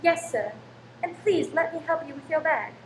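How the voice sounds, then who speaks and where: Female actor as servile, flustered landlady, high voice, keen to please; echoey room. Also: lively intonation, normal speed. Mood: obliging. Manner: servile, polite, flustered when rebuked. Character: eager, deferential married landlady, anxious to please. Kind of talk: radio play acting. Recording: roomy echo with faint background noise.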